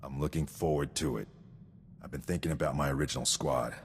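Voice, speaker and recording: An extremely deep male voice as a rigid, dutiful game sergeant. He speaks with formal precision and cold devotion to victory. The sound carries long hall reverb and a rumbling noise. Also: fairly even intonation, a little fast. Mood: stoic. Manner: formal, precise, recites statistics. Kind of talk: scripted video game acting.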